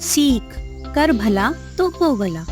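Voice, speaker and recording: High-voiced woman narrating a children's story. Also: very animated, takes it slowly, darkish tone, faint music behind.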